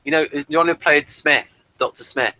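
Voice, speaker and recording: A man's voice: a little high, moderate pace, pushed and bright, dry recording, poor audio. A man hosting a review discussion, talking in a hesitant, digressive way as he explains things. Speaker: host of a TV review show.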